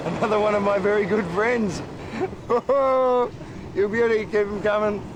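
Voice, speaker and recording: A man's voice: steady tone, unhurried. A man, exclamatory and sardonic, chatting and joking casually outdoors. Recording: noisy outdoor recording, rumbling vehicles.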